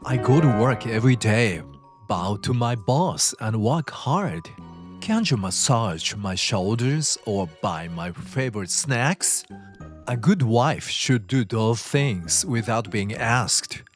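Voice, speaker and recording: Casting: actor as childish, demanding husband, mid-pitched and very animated, petulant bluster, music underneath. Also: male voice, slow pace. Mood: petulant, belittling. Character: childish, selfish, demanding husband, dismissive and whiny. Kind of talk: dramatized dubbed dialogue.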